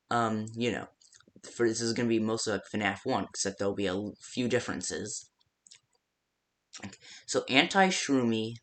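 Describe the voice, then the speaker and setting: A man casually improvising phone-call style guide messages for a fan-made game, rambling and haltingly restarting his sentences. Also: fairly low pitch, a bit bright. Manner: rambling, halting, self-interrupting with stammered restarts.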